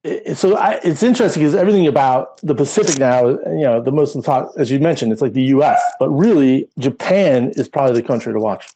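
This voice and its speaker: Mellow-voiced man chatting about current affairs. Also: medium pitch, quick pace, hardly any pauses, rough recording. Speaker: podcast co-host. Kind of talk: casual current affairs discussion.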